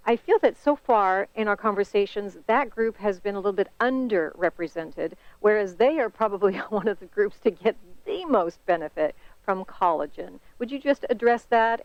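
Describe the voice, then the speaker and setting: A woman's voice: mid-range voice, clear, resonant tone, no room sound. A woman hosting a promotional conference call, leading into questions in an articulate, encouraging and wordy way, on slightly dull call audio. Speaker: host, interviewer.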